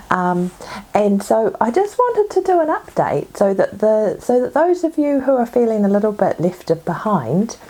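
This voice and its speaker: A woman with a cold and a husky voice, chatting casually as host of her own podcast. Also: medium pitch. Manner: chatty, rambling, soft asides. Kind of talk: casual chat. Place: home.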